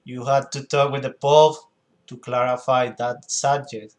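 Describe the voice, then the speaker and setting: A man from Colombia with a Colombian accent speaks English as his second language. He talks at a very slow, deliberate pace and keeps breaking off to search for words. He often restarts a phrase before finishing it. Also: mid-range voice, level tone. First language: Spanish. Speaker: commentator reacting to a clip. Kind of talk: reaction commentary.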